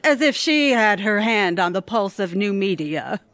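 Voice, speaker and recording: An actress playing a smug, flamboyant, scheming office rival with a haughty, catty manner in an audio comedy. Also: female voice, mid-range voice, lively intonation, measured pace, a bit bright, no room sound. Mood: gloating.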